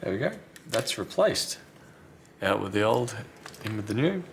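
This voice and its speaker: A man's voice: on the low side, sing-song, a little slow, a little hiss or hum. A man talking casually and thinking aloud as he presents a hands-on repair tutorial. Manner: unscripted, thinking aloud while working. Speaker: repair technician, video host. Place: indoors at a repair workbench.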